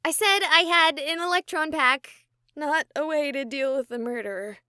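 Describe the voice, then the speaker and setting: A woman's voice: sits high, normal speed, bright, forceful tone, no echo, clean studio sound. An actress playing a sarcastic, chatty young woman streamer who talks to her viewers. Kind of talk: voiced game dialogue.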